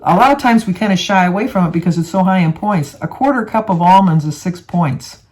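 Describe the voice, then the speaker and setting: A woman's voice: lowish voice, brisk, darkish tone. A woman in her seventies from the United States, talking casually and rambling to viewers, with many fillers and restarts. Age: 70s. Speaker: vlogger. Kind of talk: casual talk to camera.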